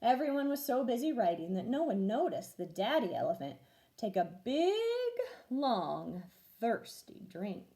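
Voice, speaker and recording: A woman reading a children's storybook aloud as a teacher would, expressive and clearly paced for young listeners. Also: on the high side, unhurried. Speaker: first grade teacher reading stories aloud.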